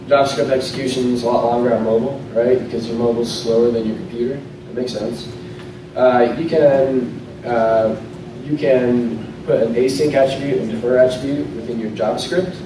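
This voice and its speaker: A man's voice: medium pitch, steady tone, normal speed. Man speaking in a very echoey hall. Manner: loose, conversational, restarts often. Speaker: conference speaker. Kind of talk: casual technical talk with live demo. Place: hotel conference room, in front of a projector screen. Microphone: lectern mic, recorded from a camera at the back of the room. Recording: very echoey room, voice from far off, clear noise.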